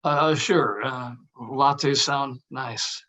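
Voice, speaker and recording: A man's voice: medium pitch, drawn-out words, pushed and bright. An actor at a table read playing a polite, cautious male film actor with a hesitant, courteous manner. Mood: awkward.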